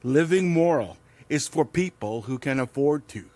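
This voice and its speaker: A man's voice: medium pitch, animated, normal speed, a little mellow, no room sound, natural voice. A man playing a cocky, roguish survivor and raider in an audio drama, laconic and wry. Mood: defensive. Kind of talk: naturalistic audio drama acting.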